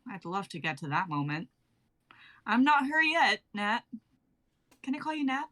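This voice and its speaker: A woman's voice: mid-range voice, sing-song, normal speed, sharp tone. A young woman playing a bubbly, teasing twenty-three-year-old wife from 1950 in a dramatic reading, breezy and old-fashioned in her phrasing. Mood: assured, teasing. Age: young adult.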